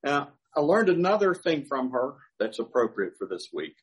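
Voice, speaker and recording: A man's voice: medium pitch, sing-song, normal speed, poor audio. An older man, a pastor, preaching a sermon through folksy personal stories with chuckling asides.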